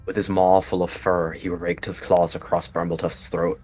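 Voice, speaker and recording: A man's voice: sits low, level tone, normal speed, darkish tone, faint music behind. A man giving dramatic third-person narration of his character in a roleplayed story.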